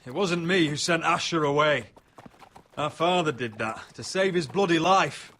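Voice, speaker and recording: A man's voice: a little high, moderate pace, pushed and bright, slight background noise. An actor playing an earnest young nobleman who defends himself, in a story game. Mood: defensive.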